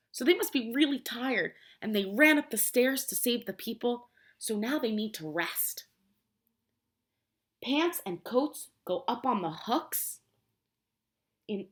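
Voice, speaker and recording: A woman teacher reading a picture book aloud to young children, explaining simply and prompting them with questions in an expressive way. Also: on the high side. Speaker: preschool teacher.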